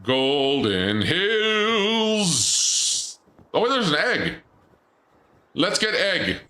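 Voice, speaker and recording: Male streamer, crisp bright voice, echoey room, chatty and joking with chat. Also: high voice, big pitch swings, very slow. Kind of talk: casual gameplay commentary.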